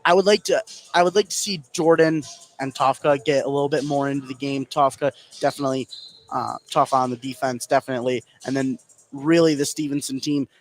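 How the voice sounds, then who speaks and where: A man's voice: medium pitch, steady tone, fairly quick, soft background music, rough recording. A man working as color analyst, giving live basketball analysis in a gym. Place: indoor gym. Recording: gym noise behind voice. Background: gym ambience.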